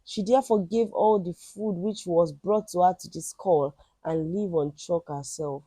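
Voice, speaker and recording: A woman telling a folktale in an expressive, emphatic and dramatic storytelling style. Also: on the low side, a little slow, slightly rough, no room sound.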